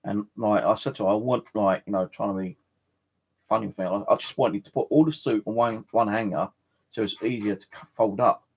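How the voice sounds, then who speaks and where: A man's voice: lowish voice, steady tone, brisk, breathy edge. A British man hosting a livestream from his home, rambling casually and swearing now and then as he chats and tells stories. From United Kingdom. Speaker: livestream host of a ghost box session. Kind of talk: casual chat and storytelling. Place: at home in a flat.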